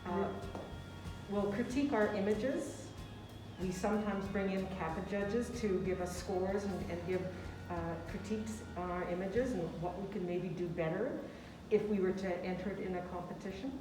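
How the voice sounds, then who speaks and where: A woman's voice: mid-range voice, fairly even intonation, moderate pace. A woman speaking as president of a photography club in an interview, friendly and plain-spoken. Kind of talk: casual interview. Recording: echoey room, far from mic, with music.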